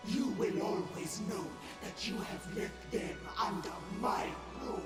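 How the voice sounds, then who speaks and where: A man's voice: high voice, lively intonation, takes it slowly, far from the mic. A man playing a menacing, grandiose undead lord of chaos in a video game, imperious, taunting and scornful. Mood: wrathful. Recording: roomy echo from afar, loud music.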